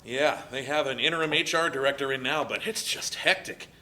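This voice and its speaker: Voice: medium pitch, a little fast, sharp tone, slight background noise, natural voice. A performer playing a friendly, slightly awkward young man in a dramatic play reading. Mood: chatty, wistful. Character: William, friendly, awkward young male former coworker.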